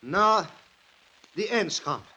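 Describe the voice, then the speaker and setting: High-pitched humble farm worker, earnest, loyal, halting solemn speech, 1940s radio drama. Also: male voice, expressive, sharp tone, exaggerated voice, rough recording. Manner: halting, solemn, simple phrasing.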